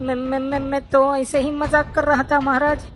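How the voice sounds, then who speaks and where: Casting: female actor for small cheeky boy mouse, squeaky-high, playful and showy, children's animated fable. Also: normal speed, slight background noise, natural voice. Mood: frightened, pleading. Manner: stammers when frightened, playful and theatrical. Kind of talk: children's animated story voice acting.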